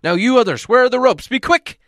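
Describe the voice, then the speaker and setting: A man's voice: extremely high pitch, animated, a little fast, a bit bright, no room sound, clean studio sound, pitched above the performer's own voice. A man voicing a dignified, commanding dwarf leader in an urgent, imperious manner for a storybook.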